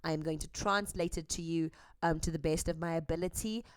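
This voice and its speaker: A woman with a lowish, smooth voice talks earnestly to camera, close on the mic in a dry space. She is candid and a little halting, with ums as she translates line by line. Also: steady tone, dry recording. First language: English. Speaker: host, translator. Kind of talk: earnest commentary and live translation.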